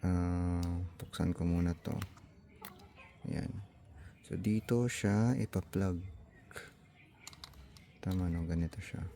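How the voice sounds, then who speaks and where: Low, creaky Filipino man, unhurried. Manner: tentative, mixes English technical words into his talk. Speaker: vlogger. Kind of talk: casual hands-on unboxing and gadget tutorial, thinking aloud.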